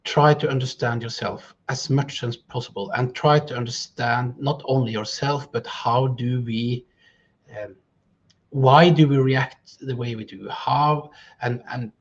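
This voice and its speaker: A man whose first language is Norwegian answers interview questions in English. He is reflective and pauses with fillers as he thinks. His voice is husky, and the recording sounds dull and lo-fi. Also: medium pitch, steady tone. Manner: thoughtful, hesitant with fillers. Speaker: guest speaker. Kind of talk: interview conversation.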